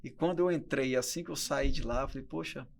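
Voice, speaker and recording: A man's voice: medium pitch, fast, gravelly. A Brazilian man in his early forties talking casually as a guest entrepreneur on a podcast. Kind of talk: casual conversational interview talk.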